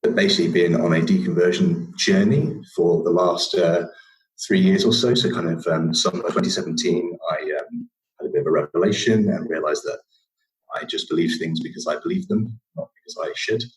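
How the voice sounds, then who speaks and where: A man's voice: deep voice, brisk, low-quality recording. A young man from England with a northern English accent, a podcast guest telling his story casually, rambling with many fillers and restarts.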